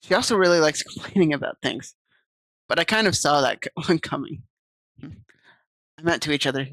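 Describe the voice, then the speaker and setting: A woman's voice: low voice, expressive, moderate pace, a bit bright, no room sound. A woman streaming, reading visual novel text aloud and chatting casually with viewers on a desk mic. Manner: chatty, reads game text aloud and answers chat.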